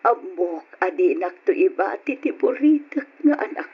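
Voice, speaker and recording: A woman playing a frail, confused elderly mother in a radio drama, stubborn yet doting, with a husky voice. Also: lowish voice, very animated, measured pace, exaggerated voice. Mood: doting, wistful. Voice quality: husky, raspy. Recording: dull lo-fi radio drama recording.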